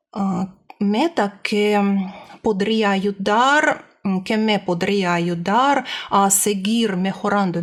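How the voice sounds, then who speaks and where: Slow, hesitant woman vlogger. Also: medium pitch. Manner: hesitant, nervous, searching for words. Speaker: language learner vlogger. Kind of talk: casual personal report to camera.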